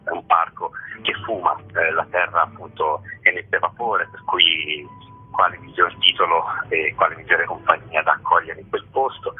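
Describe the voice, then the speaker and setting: A man's low, very husky voice comes through a narrow, muffled phone-like line. He speaks as an interview guest, explaining things in a hesitant way and often searching for the right word. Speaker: festival artistic director, interview guest.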